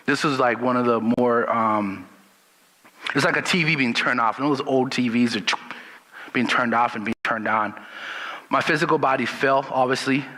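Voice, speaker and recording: Bright-voiced man from Liberia, hall reverb. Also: mid-range voice. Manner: storytelling, relaxed and unhurried. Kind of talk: personal testimony.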